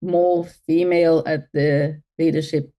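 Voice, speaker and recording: Low-voiced woman from Mauritius, thoughtful. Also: level tone, measured pace, highs cut off. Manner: thoughtful, with many fillers and restarts. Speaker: guest, health tech CEO. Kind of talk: interview answers.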